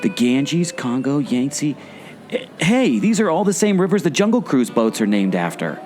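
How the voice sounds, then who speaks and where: Man, very lively swinging intonation, skeptical and puzzled, scripted radio theater dialogue, faint guitar music behind. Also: medium pitch, normal speed. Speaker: podcast co-host, former theme park skipper.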